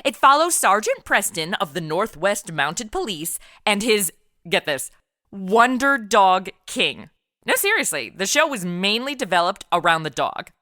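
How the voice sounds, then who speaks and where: A woman's voice: medium pitch, sing-song, a little slow, bright, forceful tone, no room sound, clean studio sound. A woman hosting and narrating a comedy audio drama in a cheeky, sarcastic, conversational manner. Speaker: comedy podcast host and narrator.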